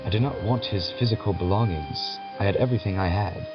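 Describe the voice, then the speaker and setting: An actor playing a nervous, obsessive madman who insists he is sane, in a deliberate, intense gothic audio drama monologue. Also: fairly low pitch, dull audio, natural voice. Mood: obsessive, haunted. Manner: deliberate, intense, halting, emphatic repetition. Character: nervous, obsessive madman insisting on his sanity, confessing murder. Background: prominent music.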